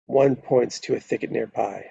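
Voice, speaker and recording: A man's voice: mid-range voice, moderate pace, creaky, mellow, slightly rough, no room sound. A man reading stage directions as narrator at a live screenplay table read, on a dull lo-fi microphone.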